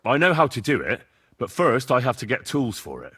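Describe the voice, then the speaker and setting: An actor playing an earnest, polite young male commoner in a video game, with a husky voice. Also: medium pitch, sing-song, a little fast, a bit bright. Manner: polite, plain-spoken. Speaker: player character.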